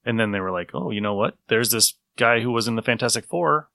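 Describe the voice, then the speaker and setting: A man co-hosting a casual review podcast, explaining in a geeky, animated way and repeating himself for emphasis. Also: on the low side, fast, gravelly, dry recording, clean studio sound. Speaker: podcast co-host. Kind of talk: casual review chat about old radio episodes.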